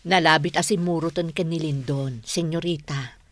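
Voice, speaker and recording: An actress playing a loyal older household maid who confides gravely and earnestly in a radio drama. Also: female voice, deep voice, fairly even intonation, normal speed. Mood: earnest, confiding. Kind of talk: Ilocano radio drama acting.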